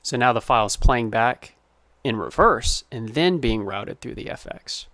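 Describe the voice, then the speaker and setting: Guy walking thru an audio tutorial step by step, lowish-mid voice, super dry sound. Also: expressive, normal speed, fairly bright tone, no echo. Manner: step-by-step, explanatory. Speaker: sound designer giving a tutorial. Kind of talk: informal screen-recorded tutorial narration.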